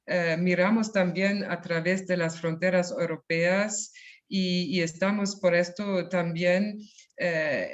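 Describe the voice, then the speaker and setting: Slow, halting woman, non-native speaker. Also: fairly low pitch. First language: not Spanish. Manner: hesitant, many restarts and fillers. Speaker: EU culture program head. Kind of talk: halting webinar remarks. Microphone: video call. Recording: dull lo-fi video call audio.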